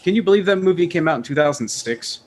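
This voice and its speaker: A man's voice: on the high side, lively intonation, fast, heavy background noise. A man co-hosting a casual chat, laid-back, saying "dude" and laughing as he tells family stories. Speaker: podcast co-host.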